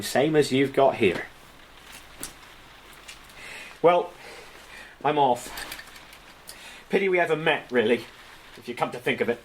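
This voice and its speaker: An actor playing a rough, proud young servant, speaking bluntly and colloquially in a radio drama. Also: male voice, mid-range voice, slight background noise, lightly acted. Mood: bitter, resigned.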